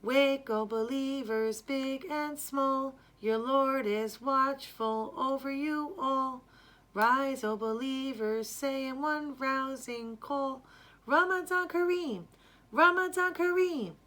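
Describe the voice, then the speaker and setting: A woman voicing a devout Ramadan night drummer, chanting wake-up calls in a drawn-out sing-song from a storybook. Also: high-pitched, bright, forceful tone, pitched above the performer's own voice, heavily acted. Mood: rousing.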